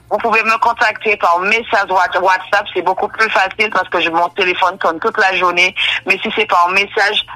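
A woman from Dominica, a booking agent talking in a lively radio interview and laughing often. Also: medium pitch, poor audio. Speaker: booking agent and consultant, guest. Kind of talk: radio interview, promoting tickets.

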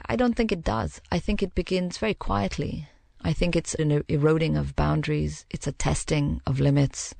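Low, gravelly-voiced woman recounting calmly. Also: dry recording. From Middle East. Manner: reflective and measured, pausing to find words. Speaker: interviewee. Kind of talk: personal account in an interview.

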